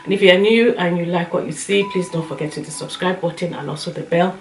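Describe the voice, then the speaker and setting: A woman with a slightly hoarse voice, chatting casually as a vlogger giving her opinions and telling anecdotes. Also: on the low side, fairly even intonation, quick pace, a little hiss or hum. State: slightly hoarse, recovering from a cough. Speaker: YouTube lifestyle and beauty vlogger. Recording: roomy echo, light music underneath.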